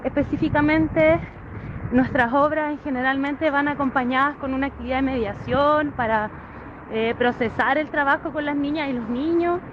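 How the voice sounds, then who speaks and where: Bright-voiced woman on a dull recording. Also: high voice, normal speed, noisy background. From Chile. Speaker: dance theatre performer. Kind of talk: recorded voice message.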